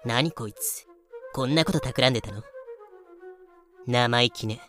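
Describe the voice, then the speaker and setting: A woman's voice: a bass voice, a little slow, a bit bright, faint music behind. A woman playing a haughty, blunt female pirate in a fan-made audio drama. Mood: scornful. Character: cool, scornful female pirate, dryly dismissive.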